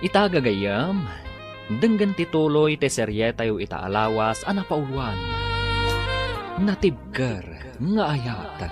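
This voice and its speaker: A man's voice: mid-range voice, sing-song, slow, long pauses. A man with an Ilocano accent narrating a radio drama as its announcer in a dramatic scripted style. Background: loud-ish theme music.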